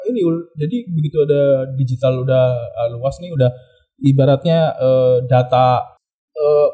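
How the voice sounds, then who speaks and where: A man co-hosting a casual podcast, chatting loosely with Jakarta slang, a trace of an East Javanese accent and hesitant pauses. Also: mid-range voice, dark tone. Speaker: podcast co-host. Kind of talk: casual chat.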